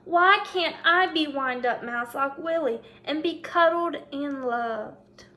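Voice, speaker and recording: A woman's voice: high voice, slow, sharp tone, heavily acted. A woman voicing a small, lonely, wistful male mouse in a storybook read-aloud, plaintive in manner. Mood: envious, wistful.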